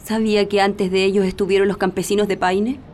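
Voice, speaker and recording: A woman's voice: medium pitch, level tone, a little fast, a little mellow, natural voice. Actress as a grave, sorrowful woman remembering. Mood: sorrowful, insistent. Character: sorrowful woman insistently recalling atrocities against prisoners. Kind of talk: radio drama acting, reminiscence. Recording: faint music under the voice.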